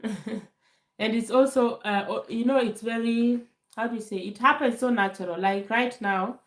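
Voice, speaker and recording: A woman from Kenya hosting a casual live Q&A, calm and unhurried, laughing often, still getting over a bad cold. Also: a little high, reverberant. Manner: calm, slow, laughs often, many fillers. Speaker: livestream host. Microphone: phone held to camera.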